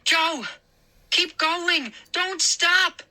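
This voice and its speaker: A woman's voice: extremely high pitch, drawn-out words, sharp tone, pitched above the performer's own voice, full character voice. A woman voicing a frantic young boy who cries out for help. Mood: panicked. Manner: frantic, crying out.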